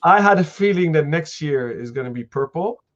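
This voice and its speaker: A man's voice: fairly high pitch, animated, dull audio. A man hosting a live interview, asking questions eagerly and stammering a little as he starts his sentences. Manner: quick, enthusiastic, stammers on starts. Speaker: host, interviewer.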